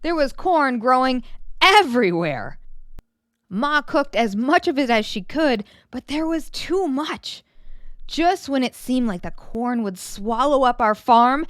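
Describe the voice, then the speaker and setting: Female storybook reader, smooth and clean close sound, expressive delivery with stretched, emphasized words. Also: a little high, no room sound. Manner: animated, dramatic storytelling with drawn-out emphasis. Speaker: children's story reader and host. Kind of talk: expressive children's storybook read-aloud.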